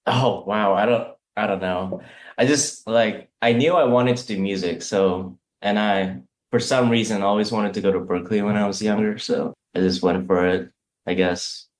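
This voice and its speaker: Low-voiced, hesitant man from Canada. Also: fairly even intonation, normal speed. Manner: modest, hesitant. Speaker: musician, band member.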